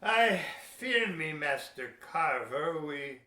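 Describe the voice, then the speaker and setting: An actor playing a cunning, gruff seventeenth-century English ship's captain in an audio play, declaiming emphatically in archaic speech. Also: male voice, a little high, lively intonation, takes it slowly, pushed and bright, heavily acted. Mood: grave, insistent. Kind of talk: old-fashioned radio play acting. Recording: roomy, echoey audio drama recording.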